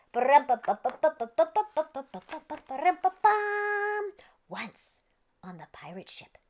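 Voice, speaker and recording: A woman reading a children's story aloud in a playful, theatrical way with exaggerated emphasis. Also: sits high. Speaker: children's storybook reader and host.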